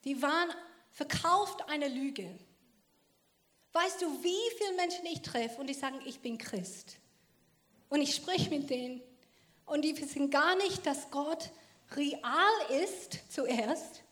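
High-voiced woman, earnest testimony. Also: lively intonation, takes it slowly, a bit bright. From Australia. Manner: earnest and emphatic, in non-native German with loose grammar. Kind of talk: passionate personal testimony talk.

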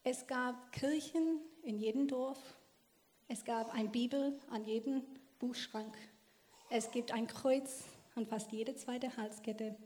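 High-voiced woman, earnest testimony. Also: steady tone, takes it slowly, a little mellow. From Australia. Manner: earnest and emphatic, in non-native German with loose grammar. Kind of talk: passionate personal testimony talk.

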